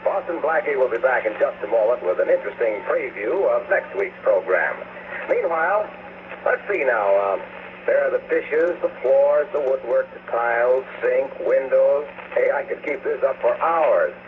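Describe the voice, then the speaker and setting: A man's voice: mid-range voice, animated, moderate pace, pauses often. Male old-time radio announcer, tinny sound. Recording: noisy old radio broadcast, tinny phone-like band.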